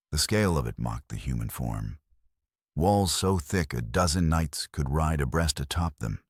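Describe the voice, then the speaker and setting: Male storyteller, extremely low pitch, husky, dry close sound, solemn, measured, dramatic narration. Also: no echo. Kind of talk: dramatic scripted audiobook narration.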